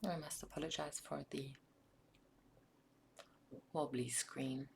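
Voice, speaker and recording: A South African woman whose first language is Afrikaans, narrating a craft project in a soft, often whispered ASMR style with frequent ums. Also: deep voice, steady tone, takes it slowly, vocal fry, slight background noise. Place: home craft table.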